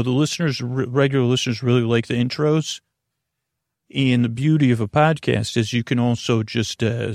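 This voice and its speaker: A man hosting a bedtime podcast in a rambling, informal monologue, halting and meandering but reassuring and low-pressure, close to the mic. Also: darkish tone, no echo. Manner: halting, meandering, repeats and trails off mid-thought. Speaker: podcast host.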